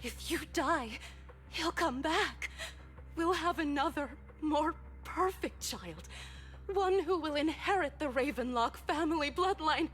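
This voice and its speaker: A woman voicing a cruel, desperate mother in a dramatic video game scene, speaking deliberately. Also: high voice, fairly bright tone, full character voice. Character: cruel, desperate mother wishing her child dead.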